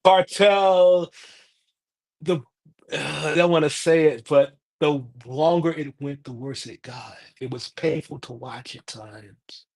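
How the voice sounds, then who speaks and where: Man, young adult, co-host, emphatic and repetitive, fairly high pitch. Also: takes it slowly, a little mellow. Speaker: podcast co-host. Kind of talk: casual chat.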